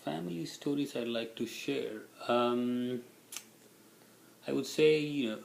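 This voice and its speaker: A man's voice: fairly low pitch, gravelly, roomy sound, a little hiss or hum, rough recording. A middle-aged man from India, a native Tamil speaker with an Indian accent, answering interview questions in a casual, reflective way.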